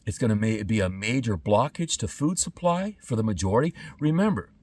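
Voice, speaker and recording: A man's voice: fairly low pitch, expressive, a little fast, darkish tone, no room sound. Middle-aged man, slightly distant dry sound. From born in Trinidad and Tobago, lived in California. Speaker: podcast host. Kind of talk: unscripted monologue to camera.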